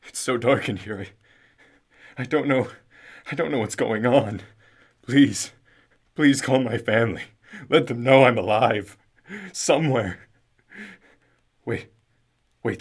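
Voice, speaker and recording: A man playing a frightened, lost character in an audio drama, with a halting, anxious delivery. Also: medium pitch, breathy edge. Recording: loud music bed under voice. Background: loud instrumental music.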